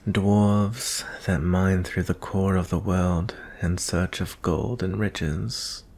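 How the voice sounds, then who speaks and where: A man playing a gentle, weary young prince in a fantasy ASMR roleplay, speaking softly and intimately in a breathy, husky voice with frequent pauses. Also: low voice, a little hiss or hum, full character voice. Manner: slow, hushed, pausing often.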